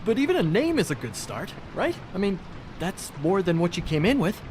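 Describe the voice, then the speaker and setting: Slippery little game crook, fast-talker type, voice jumps around a lot, noisy rainy background. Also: male voice, high-pitched, very animated, a little mellow. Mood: evasive, smarmy. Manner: glib, ingratiating, hedging patter. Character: slippery small-time thief, cornered, wheedling and glib. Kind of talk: video game voice acting.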